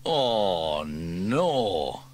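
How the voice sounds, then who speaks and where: A man's voice: low voice, very animated, very slow, exaggerated voice. A man voicing a proud, self-important fireman inventor in a storybook reading.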